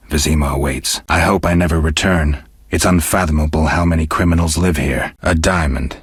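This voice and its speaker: Stoic middle-aged monster hunter, extremely low pitch, heavy husky rasp, laconic, world-weary, video game dialogue. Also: male voice, no echo, exaggerated voice. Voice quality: deep, gravelly, husky. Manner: dry, laconic, restrained delivery.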